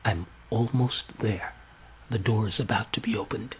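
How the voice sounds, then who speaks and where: A man's voice: lowish voice, moderate pace, slight background noise. A man hosting a casual interview in a couple's home, chatty and laughing along with his guests. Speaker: podcast host. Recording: tinny phone-like lo-fi sound.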